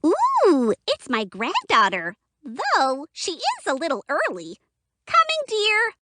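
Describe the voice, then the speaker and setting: A woman's voice: very high voice, wildly swooping pitch, takes it slowly, no echo, exaggerated voice. A woman voicing a spry old granny in a children's cartoon, with a sing-song, melodramatic delivery. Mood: doting. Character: spry, doting old granny with hidden toughness. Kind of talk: children's cartoon fairy tale acting. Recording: loud music under the voice.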